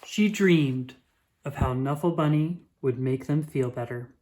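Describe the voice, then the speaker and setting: A woman reading a children's picture book aloud with expressive, drawn-out emphasis. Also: very deep voice, a little hiss or hum. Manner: animated, dramatic emphasis with drawn-out words.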